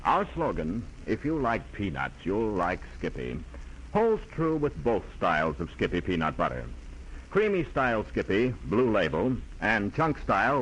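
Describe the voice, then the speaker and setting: A man's voice: on the low side, husky, poor audio. A man announcing in 1940s radio style, reading scripted copy. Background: loud music.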